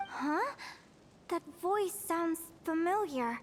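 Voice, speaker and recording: A woman voicing a gentle young adventurer in a video game, talking to herself in a hesitant, wondering way. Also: very high-pitched, expressive, takes it slowly, slight background noise, exaggerated voice. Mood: confused. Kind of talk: video game voice acting with inner monologue. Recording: music under the voice.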